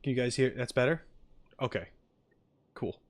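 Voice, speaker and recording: A man's voice: mid-range voice, moderate pace, no room sound. Casual male streamer, dry close sound. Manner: opinionated, jokey, conversational. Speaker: streamer reacting to game clips. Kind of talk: casual livestream chat.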